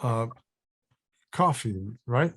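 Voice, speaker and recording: A man's voice: medium pitch, lively intonation, very slow, fairly bright tone, heavily acted. An actor at a table read playing a wry, wary older male actor with a dry, hesitant delivery. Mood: uneasy.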